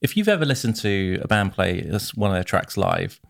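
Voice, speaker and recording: A man co-hosting a casual podcast, a working band musician who explains things in a relaxed way with dry teasing. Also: fairly low pitch, moderate pace, vocal fry, dry recording.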